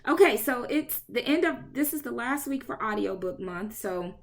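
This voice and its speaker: A woman's voice: fairly high pitch, animated, normal speed. A woman from Indiana hosting a casual chat, friendly and agreeable, laughing often. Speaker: podcast host.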